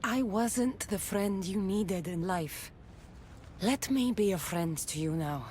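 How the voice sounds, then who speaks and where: Steadfast warrior, firm and loyal. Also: female voice, medium pitch, expressive, takes it slowly, darkish tone, slight background noise. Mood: firm, caring. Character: steadfast Greek warrior woman, loyal, wry, battle-hardened. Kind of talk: dramatic video game acting.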